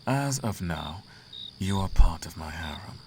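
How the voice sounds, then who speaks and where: Man voicing a very deep, gravelly demon prince. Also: animated, background noise. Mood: seductive, indulgent. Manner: slow, courtly, teasing. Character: seductive, imperious male demon prince of the Abyss. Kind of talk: flirtatious roleplay ASMR acting.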